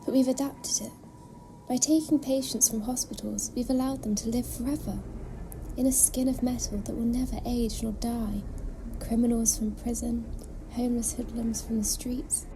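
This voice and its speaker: A woman's voice: fairly high pitch, steady tone, normal speed, noisy background, natural voice. A woman in an audio drama playing a haunted, devout alien nurse who speaks solemnly and often slips into a whisper. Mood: serene, fanatical. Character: haunted alien nursing sister, eerie, devout, enthralled.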